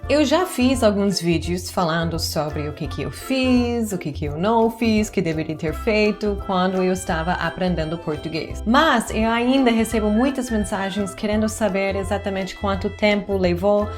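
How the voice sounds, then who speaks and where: A woman in her forties, a native English speaker, telling her story to camera in a chatty, earnest way. Her voice sits at a middling pitch and keeps springing upward when something excites her. Soft music plays quietly behind her. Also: moderate pace, few pauses. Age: 40s. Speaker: YouTuber teaching languages. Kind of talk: personal vlog narration to camera.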